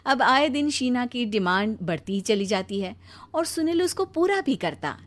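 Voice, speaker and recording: High-voiced woman narrating a story. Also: very animated, normal speed. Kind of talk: scripted cartoon story narration.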